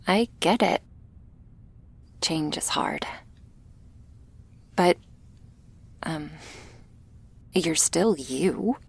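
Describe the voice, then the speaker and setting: A woman playing a shy, gentle vampire girl in an ASMR roleplay, speaking softly and hesitantly, awkward but reassuring, often slipping into a whisper. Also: low voice, expressive, heavily acted. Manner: hesitant, halting, soft-spoken with breathy whispers. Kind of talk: ASMR roleplay addressed to the listener.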